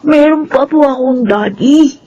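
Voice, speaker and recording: A small child in a Filipino audio drama. The voice is high and childlike, with slow, slightly whiny, pouting speech. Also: female voice, mellow, muffled recording, slight background noise. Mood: pouting, wistful. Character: small schoolchild, whiny and sweet, wanting a daddy.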